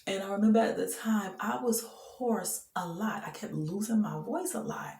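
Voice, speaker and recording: A woman's voice: medium pitch, moderate pace, gravelly, darkish tone, reverberant. A woman telling her faith testimony in an earnest, emphatic way, often laughing between thoughts. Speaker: person sharing a faith testimony. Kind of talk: heartfelt personal testimony, casual.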